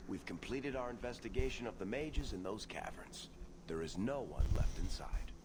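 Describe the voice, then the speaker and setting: A man as a brisk, proud knight soldier. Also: on the low side, expressive, noisy background, lightly acted.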